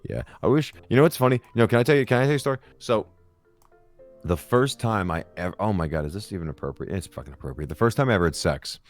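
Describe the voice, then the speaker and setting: A young man with a deep voice, telling rambling, crude, swear-filled stories in a casual chat. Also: lively intonation, fast, no echo, faint music behind. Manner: crude, rambling storyteller who swears a lot.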